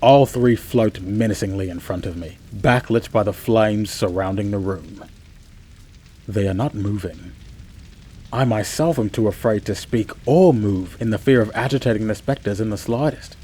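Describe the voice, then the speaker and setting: A man playing a chatty, bewildered narrator of an eerie first-person radio play, theatrical, with asides and dramatic pauses. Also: fairly low pitch, mellow, slight background noise, natural voice. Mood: fearful, dramatic. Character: chatty, bewildered man telling his own eerie tale.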